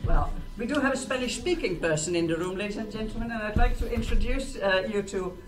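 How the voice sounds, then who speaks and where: A woman's voice: medium pitch, fast talker. A middle-aged woman hosting an event, talking informally to an audience and putting questions to them. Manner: rhetorical questions to the listeners. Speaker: event host. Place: small stage in a presentation room. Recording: distant mic, clearly noisy room.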